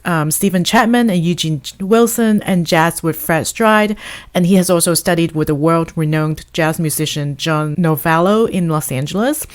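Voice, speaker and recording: Woman hosting a podcast interview. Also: fairly low pitch. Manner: reads a long guest introduction, with fillers. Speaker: podcast host. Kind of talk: casual interview.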